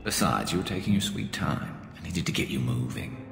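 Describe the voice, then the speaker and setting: Male alien sniper vigilante, video game, dry wit, raspy-deep, music under the voice. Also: fairly quick. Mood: calm. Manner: wry, deadpan. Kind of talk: video game cutscene acting. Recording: reverberant game mix with score.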